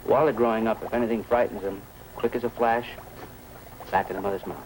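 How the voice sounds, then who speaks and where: A man's voice: on the low side, steady tone, fast talker, natural voice. A man playing a friendly, talkative fish hobbyist and eager witness in a 1950s radio drama. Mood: enthusiastic. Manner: talkative, folksy, hesitant asides. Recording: old radio recording with background noise.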